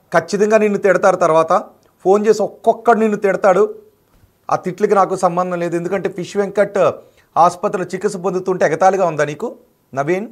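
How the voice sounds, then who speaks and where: A male news anchor delivering studio commentary, indignant and confrontational as he addresses someone directly. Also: high voice.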